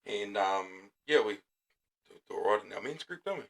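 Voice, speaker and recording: A laid-back New man hosts a casual podcast chat from a small studio. His voice sits low and carries a gravelly rasp, and he talks easily, padding his sentences with fillers. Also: lively intonation, a little fast. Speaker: podcast host.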